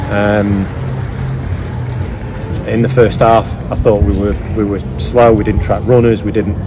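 A man's voice: fairly low pitch, level tone, measured pace, music in the background. A middle-aged man, a football manager, giving a candid post-match interview outdoors at a stadium, thoughtful with many fillers and restarts.

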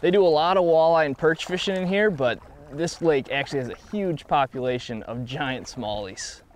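A young man co-hosting a fishing show, making short, quiet remarks as he lands fish from a boat on a lake. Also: a little high, moderate pace, a little hiss or hum. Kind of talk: casual on-the-water commentary. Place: small boat on an open lake outdoors.

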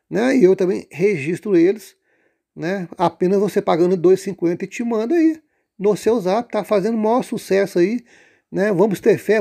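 High, mellow-voiced man. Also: creaky. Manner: rambling, full of fillers and restarts. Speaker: lottery pool organizer. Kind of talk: casual sales pitch.

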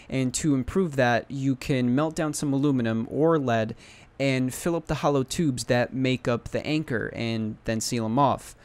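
A man's voice: medium pitch, slight background noise. A young man in casual talk explaining a homemade kayak anchor, partly from a kayak on a lake, with fillers and restarts. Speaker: DIY video maker. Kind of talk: instructional voice-over and on-water demo.

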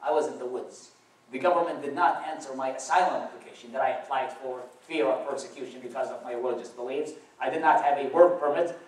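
A man's voice: mid-range voice, fast, darkish tone. A young man from Iraq with an Arabic accent, telling his life story in a church talk with wry, dry humor. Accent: Iraqi Arabic. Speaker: guest speaker. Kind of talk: personal testimony talk. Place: church auditorium. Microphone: far camera across the room. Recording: roomy echo from far off.

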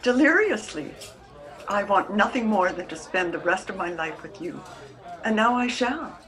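A woman's voice: mid-range voice, lively intonation, normal speed, fairly bright tone, natural voice, rough recording. A woman playing an adoring, refined sweetheart in a period radio melodrama, with a genteel, effusive manner. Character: adoring, refined woman in love, romantic and carefree.

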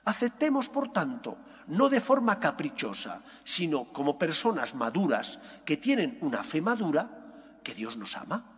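A middle-aged man with a Spain accent preaches in a large, echoing church. His pitch rises and falls in lively swings as he explains point by point with firm emphasis. The voice is somewhat husky, and he sets off phrases with deliberate pauses. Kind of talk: sermon, homily. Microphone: gooseneck microphone at lectern.

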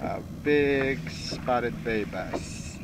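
A middle-aged man filming himself from a kayak on open water, calling out short excited reactions with whoops and laughter. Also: mid-range voice, extremely slow. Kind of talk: live reactions while fishing. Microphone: action camera mounted on kayak. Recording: wind and water noise.

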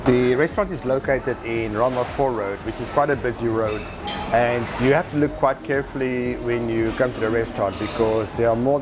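Eager man reviewing food. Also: mid-range voice. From South Africa. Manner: enthusiastic, talks to camera while eating. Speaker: restaurant reviewer. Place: indoor restaurant by a busy street.

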